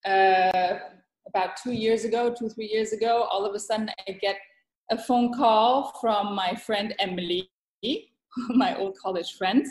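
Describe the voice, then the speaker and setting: A woman from Thailand, a guest author speaking in a podcast interview, thoughtful and halting with many fillers and restarts. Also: mid-range voice, a little mellow, echoey room, rough recording.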